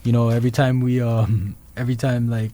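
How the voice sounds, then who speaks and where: Give me a young man with a soft, warm voice, talking haltingly as a podcast guest. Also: medium pitch, little pitch movement. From Toronto, Canada. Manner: hesitant, many ums and restarts. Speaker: guest musician. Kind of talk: casual interview chat.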